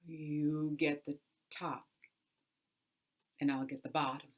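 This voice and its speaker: A woman's voice: sits low, level tone, slow pace. A woman storyteller voicing a polite, crafty male tenant farmer in a folk tale, courteous and slyly cheerful. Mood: polite, careful.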